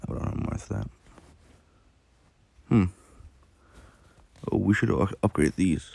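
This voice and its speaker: A man with a sore, hoarse throat, rambling through casual commentary while playing a mobile game, with lots of fillers and restarts. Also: low voice, expressive, takes it slowly, mellow, no room sound.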